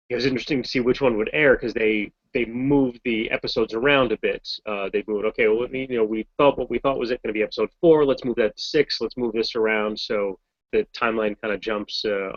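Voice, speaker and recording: A voice actor from Massachusetts answering fan questions in a casual, rambling, self-deprecating way over a video call. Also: male voice, lowish voice, dry recording, dull audio. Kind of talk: casual Q&A chat.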